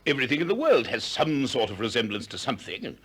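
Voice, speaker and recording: An actor playing a self-assured, eloquent ancient Greek teacher in a 1960s radio drama, with an expansive lecturing manner. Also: male voice, mid-range voice, very animated, quick pace, fairly bright tone, breathy edge, full character voice. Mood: obliging, slightly flustered.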